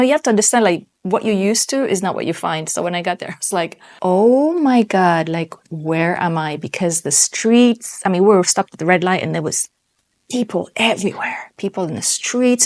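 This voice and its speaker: A travel vlogger, a woman from Ethiopia who grew up in Ivory Coast, talks straight to camera in an animated, chatty way. Her voice sits on the low side, and she leans on casual fillers as she tells her stories. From born in Ethiopia, raised in Ivory Coast. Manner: animated, chatty, frequent "like" and "you know".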